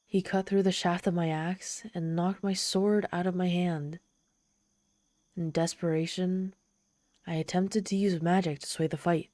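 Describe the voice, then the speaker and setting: A woman's voice: lowish voice, fairly even intonation, a little mellow, dry recording, natural voice. A woman playing a proud, easily flustered female demon in an ASMR roleplay, telling her story in a haughty, theatrical manner. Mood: embarrassed, grudging. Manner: haughty, theatrical, halting when embarrassed.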